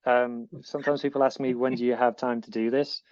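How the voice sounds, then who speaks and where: A man's voice: mid-range voice, level tone, brisk, darkish tone, no echo, lo-fi sound. A man with a Northwest English accent, co-presenting a podcast in an eager, rambling way. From northwest England. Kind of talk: casual podcast chat.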